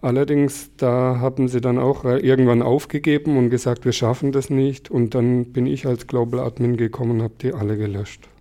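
A man in his forties whose first language is Alemannic, a podcast guest explaining things calmly with frequent pauses and fillers. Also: medium pitch. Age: 40s. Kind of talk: conversational interview.

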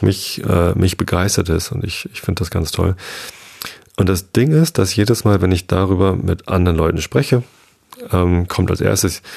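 A man from the Hamburg area, talking through his ideas in a reflective monologue, halting often with fillers and restarts. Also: deep voice, gravelly, a little mellow. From Northern Germany, Hamburg area. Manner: hesitant, many fillers and restarts.

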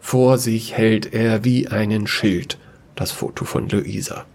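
Man narrating, voice with creaky edge. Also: fairly low pitch, fairly even intonation, slow, over music. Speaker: storyteller. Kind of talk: scripted narration of a sci-fi fairy tale audio play.

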